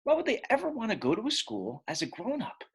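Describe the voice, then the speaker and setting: Man reading as a husky, animated grumpy schoolboy. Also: sits high, quick pace, pitched above the performer's own voice, heavily acted. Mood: opinionated. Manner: emphatic, expressive. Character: grumpy, opinionated eight-year-old boy who hates school. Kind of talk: first-person children's storybook narration.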